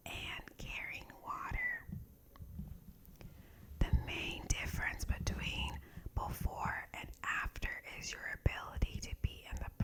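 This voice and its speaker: A woman reading meditations aloud in a soft, breathy, unvoiced whisper, in ASMR style with deliberate phrasing.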